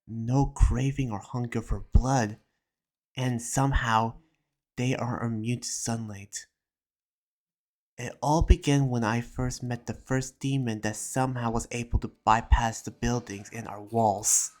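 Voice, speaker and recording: A man voicing an earnest demon slayer who reads out his research journal in a reflective, halting way, as a dramatic roleplay monologue. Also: medium pitch, fairly even intonation, a little mellow, lightly acted. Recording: music behind the voice.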